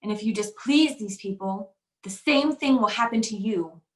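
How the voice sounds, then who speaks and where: A woman's voice: mid-range voice, moderate pace, over loud music, natural voice. An actress playing a timid, naive young servant woman in a radio drama, speaking with fearful, innocent earnestness. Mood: horrified. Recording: roomy, echoing voice track.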